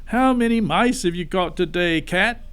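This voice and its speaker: A man's voice: sits high, normal speed, a bit bright, clean tone, pitched above the performer's own voice. A man voicing mean, mocking evil creatures in a storybook read-aloud, taunting in a mock-sweet way. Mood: jeering.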